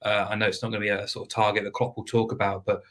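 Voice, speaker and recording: A man talks football betting in a low, fairly level voice. He sounds a little hoarse and speaks in a measured, unhurried way, with frequent ums and restarts. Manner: measured, hesitant with fillers, flat delivery. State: losing his voice. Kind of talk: casual podcast chat.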